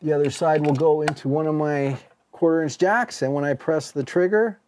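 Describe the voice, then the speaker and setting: A man's voice: a little high, normal speed, darkish tone, a little hiss or hum. A middle-aged man giving a casual, conversational hands-on demonstration as a video host. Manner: conversational, explanatory. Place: home studio workshop, indoors.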